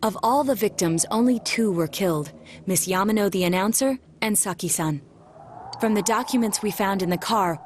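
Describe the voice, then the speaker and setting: Casting: actress as cool-headed young detective for a game, mid-pitched, precise and serious, slight background noise. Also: female voice, lightly acted. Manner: formal, precise, measured. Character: composed, analytical young detective, formal and serious. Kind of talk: video game English dub acting.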